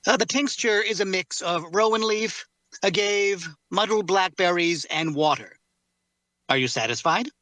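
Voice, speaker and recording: A man playing a composed, faintly menacing captor in an audio drama read, speaking in measured, formal, precise phrases. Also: high-pitched, bright, forceful tone, no room sound. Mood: firm, condescending. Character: composed, faintly menacing male captor tending his prisoner.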